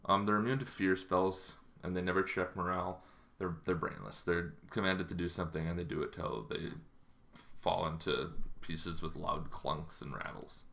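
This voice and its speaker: A man in his thirties talking casually to camera in an explanatory way, halting and improvised, in a small bedroom. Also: deep voice, steady tone, vocal fry, a bit bright. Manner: halting, loosely improvised delivery. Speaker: tabletop roleplaying game vlogger.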